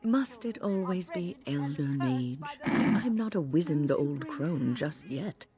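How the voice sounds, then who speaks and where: An actress playing a composed, wise older female mage in a video game, measured, formal and gently wry. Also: deep voice, lively intonation, faint music behind.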